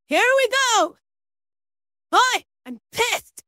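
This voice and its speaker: A woman's voice: extremely high pitch, lively intonation, drawn-out words, sharp tone, dry recording, studio quality, heavily acted. A woman voicing a fierce female video game fighter, delivering theatrical, menacing, commanding battle taunts. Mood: battle cry. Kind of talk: performed video game voice lines.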